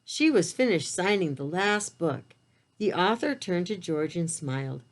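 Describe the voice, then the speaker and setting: A woman with a slightly low voice reads a picture book aloud for preschoolers. Her pitch moves in lively swings while the pace stays slow. She speaks to the children in simple, direct language, as an expressive storyteller.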